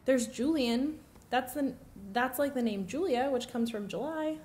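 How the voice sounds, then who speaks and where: A woman's voice: high-pitched, unhurried, a little mellow, voice pushed up. A woman voicing a friendly, chatty, earnest schoolgirl from a children's storybook. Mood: playful, eager.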